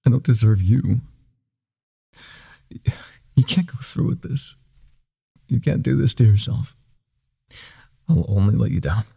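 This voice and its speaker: A man playing an older ex-mafia boyfriend, wry, tender and self-doubting, with a husky, breathy voice in an intimate roleplay audio. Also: lowish voice, measured pace, pauses often, mellow, full character voice. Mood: tearful, self-doubting.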